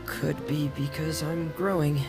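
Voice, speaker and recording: A man voicing a shy, awkward teenage boy in a video game, soft-spoken, hesitant and stammering. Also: fairly high pitch, little pitch movement, unhurried, creaky, over music. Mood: evasive, nervous. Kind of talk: video game character acting.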